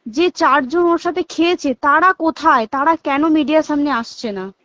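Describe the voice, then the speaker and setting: A woman's voice: sits high, moderate pace, fairly bright tone, no echo. A young woman with a West Bengal accent talking casually on a recorded phone call, explaining things emphatically and checking that the listener follows. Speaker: hospital staff member. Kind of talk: casual phone conversation recounting events.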